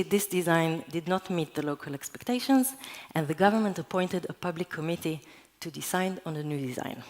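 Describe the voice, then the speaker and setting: Hebrew-native woman in her twenties or thirties, lower pitch, earnest lecturing delivery. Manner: earnest, explanatory, with occasional restarts. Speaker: conference speaker. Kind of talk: conference talk presentation. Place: conference hall.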